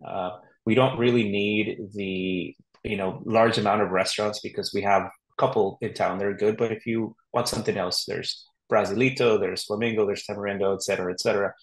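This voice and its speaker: A middle-aged man from Honduras talks casually as an interview guest. His voice sits a little low, and he rambles easily, with plenty of fillers and restarts. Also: fairly even intonation, fairly quick, low-quality recording. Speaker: real estate agent guest.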